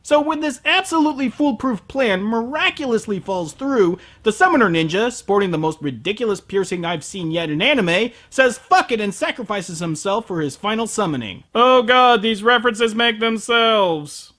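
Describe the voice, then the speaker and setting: Animated, sarcastic male reviewer. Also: sits high, normal speed, hardly any pauses. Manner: sarcastic, exasperated, profane. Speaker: host of an anime review show. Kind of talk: scripted comedic review narration.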